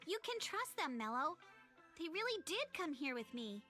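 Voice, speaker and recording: A playful anime game girl, very high and bright. Her delivery is animated and teasing, swinging up and down over music. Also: normal speed, heavily acted. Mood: chirpy, reassuring.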